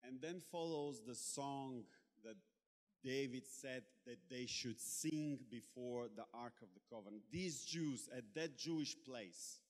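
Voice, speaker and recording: A Bulgarian-born man preaching in English, pausing often as he searches for words, with a playful edge. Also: mid-range voice. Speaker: guest preacher. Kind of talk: sermon in English with interpreter. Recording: long hall reverb.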